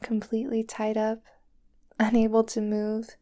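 A woman voicing a doting, possessive young girlfriend who holds her lover captive, talking softly and sweetly in a whispery voice. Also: fairly high pitch, monotone, a little slow, close to an everyday voice. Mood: soothing. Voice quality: soft and whispery. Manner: sweet, clingy, coaxing. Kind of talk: roleplay audio drama to the listener.

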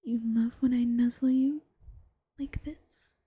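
A doting bunny-girl girlfriend, played by a woman, coaxes and teases in a slow, breathy whisper. Her hushed tone is dark and mellow, pitched a little high. The sound is close and dry, with no room around it. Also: fairly even intonation, no echo, full character voice. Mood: teasing, coaxing. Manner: slow, coaxing and teasing. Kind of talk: ASMR roleplay.

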